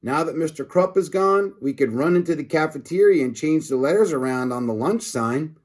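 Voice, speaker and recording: A man voicing an excitable, bossy schoolboy in a storybook, exclaiming emphatically. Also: a little high, moderate pace, pitched above the performer's own voice. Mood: eager. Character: excitable schoolboy George, bossy, urgent, conspiring.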